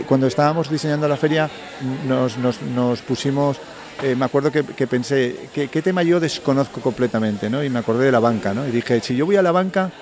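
A man's voice: medium pitch, a little mellow. A man with a Spain Spanish accent, a fair organizer talking in a live radio interview outdoors, thoughtful and full of restarts and self-corrections. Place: outdoors in city park gardens. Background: crowd.

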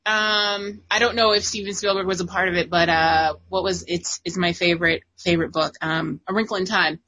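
Female podcast co-host, bright and husky, playful and quick to laugh. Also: on the low side, steady tone, low-quality recording. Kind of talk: casual chat.

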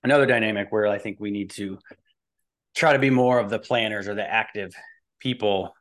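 Low-voiced man in his forties, rambling. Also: fairly quick, fairly bright tone. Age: early 40s. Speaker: fitness and nutrition coach, podcast guest. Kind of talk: casual interview chat.

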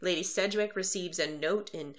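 A woman co-hosting a podcast, chatting casually and animatedly as she recaps a book and show plot with quick restarts. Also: fairly low pitch. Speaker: podcast co-host. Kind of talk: casual book discussion chat.

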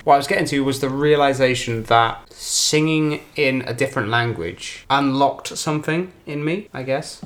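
A man's voice: medium pitch, moderate pace, few pauses, fairly bright tone, slight background noise. A man chatting casually in a playful, rambling way. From Wales. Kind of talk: casual chat.

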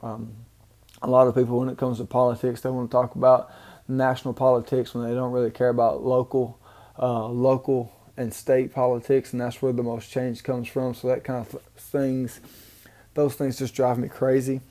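A man's voice: mid-range voice, level tone, moderate pace, warm tone. A man from Mississippi with a Southern accent, rambling through a casual solo podcast with many ums and restarts. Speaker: podcast host. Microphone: home microphone, amateur setup.

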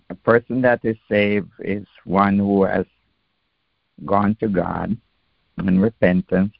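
A male senior pastor teaches the Bible very slowly and deliberately, in a measured, instructive way. His voice is fairly low with a lot of gravelly creak. The sound is thin and narrow, like a phone line. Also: no room sound. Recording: tinny phone-like dry audio.

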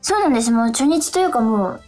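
A woman's voice: fairly high pitch, animated, fast talker, darkish tone, soft background music. A woman co-hosting a radio show, chatting casually and effusively about her work. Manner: enthusiastic, effusive. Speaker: radio show co-host, stage actress.

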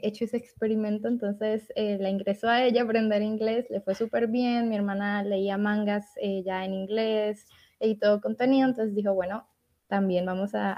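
A young woman from Latin America, a translator and podcast guest, telling stories in a chatty way and laughing often. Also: mid-range voice. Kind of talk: casual podcast interview. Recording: dull lo-fi audio.

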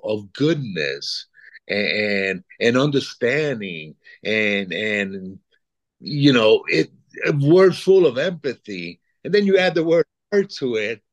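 A man's voice: medium pitch, no room sound. A man in his sixties from Puerto Rico, chatting as an interview guest, rambling and repeating words as he thinks. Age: 60s. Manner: rambling, repeats words, chuckles between thoughts. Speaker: guest artist. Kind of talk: casual interview chat.

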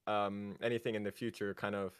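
A man's voice: on the low side, flat delivery, smooth, no room sound. A young man with an American accent chats as an interview guest. He halts often, restarting phrases and filling gaps with small words. The recording is dry and close. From Japan. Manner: halting, many fillers and restarts, laughs often. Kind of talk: casual interview chat.

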